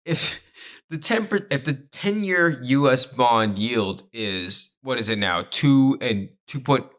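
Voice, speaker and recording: A man's voice: mid-range voice, lively intonation, slow pace, fairly bright tone. A man co-hosting a podcast, explaining in casual chat with an informal, stop-start delivery that often repeats itself. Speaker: podcast co-host.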